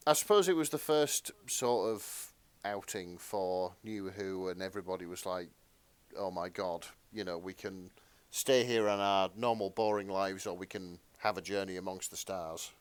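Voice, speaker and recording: A man's voice: deep voice, moderate pace, smooth, no echo. Hesitant male podcast co-host, dry sound. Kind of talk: casual podcast chat.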